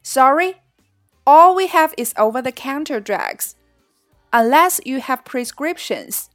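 Helpful pharmacist, read by a woman, high and fairly loud, careful clear lines, faint music behind. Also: lively intonation, slow pace, no room sound, studio quality, full character voice. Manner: clear, careful textbook reading.

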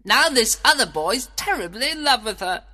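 Man doing a bright, mischievous sprite servant. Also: very high-pitched, big pitch swings, moderate pace, voice pushed up, heavily acted. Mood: gleeful. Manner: impish, amused. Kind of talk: dramatized storybook reading.

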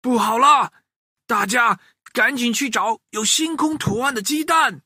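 A man voicing an excitable chicken king in a children's story, exclaiming in a raised, urgent voice. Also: extremely high pitch, measured pace, breathy edge, no room sound, studio quality, voice pushed up, exaggerated voice. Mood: alarmed.

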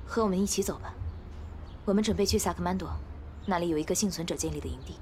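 A woman playing a composed, resolute, protective young fighter in an audio drama, with a brisk manner. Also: on the low side, a little mellow, slight background noise, close to an everyday voice. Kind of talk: Chinese audio drama acting. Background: music.

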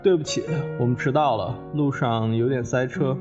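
Guy playing polite solemn middle-aged man hosting guests, mid-pitched, radio drama, music under it. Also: steady tone, moderate pace, dull audio, lightly acted. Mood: courteous. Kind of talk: Chinese radio drama acting.

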